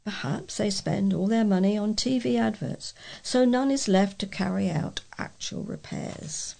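A British woman reads letters aloud for a local news recording. Her voice is very creaky and somewhat husky, set at an average pitch for a woman. She keeps a measured pace of reading, with an occasional stumble over a word. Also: mellow. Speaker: volunteer newsreader for a local talking newspaper.

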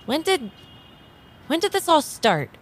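A woman's voice: high voice, very animated. Flustered, stammering time agent played by a woman. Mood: rattled, probing. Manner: halting, stammering, earnest. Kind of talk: improvised audio drama dialogue.

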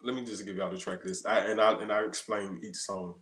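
A man's voice: fairly low pitch, steady tone, a little fast, fairly bright tone. A man in his thirties chatting casually as an interview guest, explaining his songs as a singer-songwriter. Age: 30s. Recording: roomy, echoey sound.

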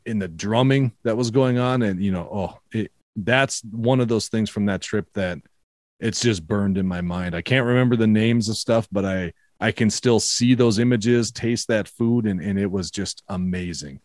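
A man's voice: fairly low pitch, normal speed, dry recording, clean studio sound. A man with a Minnesota accent, chatting casually and telling stories with many restarts and repeated words. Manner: warm storytelling, frequent restarts and repeated words. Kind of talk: casual conversation.

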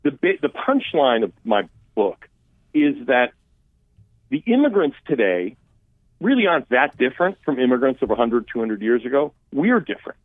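A man talks through his points in long, rambling explanations, often restarting a phrase before pressing on. His voice comes through a narrow, phone-like line with no room sound at all. He sits at a middling pitch for a man. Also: sing-song, moderate pace, no echo. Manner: talkative, explanatory, frequent restarts and asides. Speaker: guest expert on immigration policy. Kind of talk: conversational interview answers. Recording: dry tinny phone-like line.

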